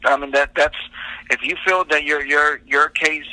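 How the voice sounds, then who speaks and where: A man's voice: on the high side, fairly even intonation, normal speed, pushed and bright, no echo. A man speaking as a police officer on a phone call, explaining policy in a formal, polite but defensive way. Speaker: school district police officer. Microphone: telephone.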